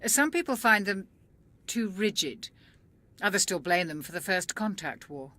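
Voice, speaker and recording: A woman's voice: medium pitch, big pitch swings, measured pace, bright, forceful tone, no echo. An actress playing a dry, sensible female ship's doctor in a video game cutscene, speaking in a composed, matter-of-fact way.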